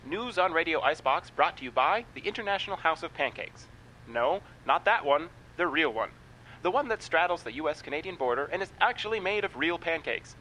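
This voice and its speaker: A polite local morning host played by a man fronts a comic radio show. His bright, fairly high voice is lively in pitch, with faint noise behind it. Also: poor audio.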